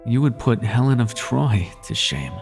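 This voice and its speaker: A man's voice: mid-range voice, big pitch swings, a little slow, vocal fry, music in the background. A man playing a suave, teasing demon prince of nightmares in an intimate audio roleplay, with courtly, lingering delivery. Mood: teasing flattery.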